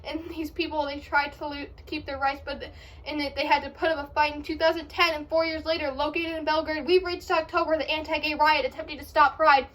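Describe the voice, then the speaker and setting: Very high, fast teen poet. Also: female voice, flat delivery, fairly bright tone. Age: teenager, about 14. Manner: impassioned, emphatic, declamatory. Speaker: amateur slam poet. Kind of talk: slam poetry recital, rhythmic and rhyming.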